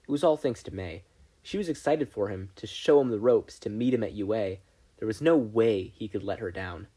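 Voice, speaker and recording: A podfic narrator reading a scripted story aloud in an expressive storytelling style. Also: mid-range voice, normal speed, breathy edge.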